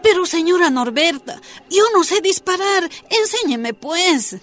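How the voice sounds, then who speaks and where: An actress playing a hesitant, anxious but willing miner's wife with a Bolivian accent in an earnest radio drama performance. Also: female voice, very high voice, lively intonation, a little slow, fairly bright tone, exaggerated voice. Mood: uncertain.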